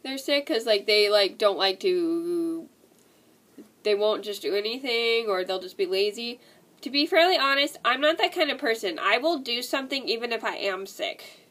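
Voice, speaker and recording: Young woman with a stuffy cold nose, kinda high bright voice, rambles on w lots of like and stuff. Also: lively intonation, moderate pace. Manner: rambling, repeats herself, many "like" and "and stuff" fillers. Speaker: vlogger. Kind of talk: casual talk to camera.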